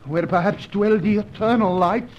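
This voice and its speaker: An actor playing a jittery, superstitious Latin American companion, who speaks formally and apologetically in a 1950s radio serial. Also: male voice, high voice, moderate pace, lightly acted. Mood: nervous. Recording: dull old radio recording with hiss.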